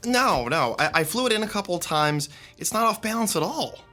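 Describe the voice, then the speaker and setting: A friendly young male theater techie in a radio play. His voice is high and bright with very lively intonation, over faint music. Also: moderate pace, exaggerated voice. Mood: hurried. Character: eager, friendly young college theater technician. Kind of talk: naturalistic radio drama acting.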